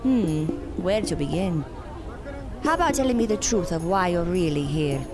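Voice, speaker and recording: A woman playing a contemptuous, cryptic circus mystic in an audio drama, speaking in a deliberate, theatrical and cutting way. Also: fairly low pitch, expressive, music in the background, natural voice. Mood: haughty, needling.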